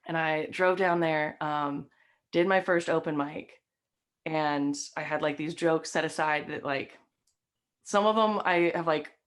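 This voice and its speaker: A young woman with a faint Southern American lilt talks in a low, easygoing voice. She tells long stories full of fillers and asides. The sound is from a webcam in a home room. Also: unhurried. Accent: light Southern American. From Southern United States. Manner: chatty, storytelling, many fillers. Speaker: guest, stream host and comic. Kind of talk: casual interview chat. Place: decorated home room with framed posters.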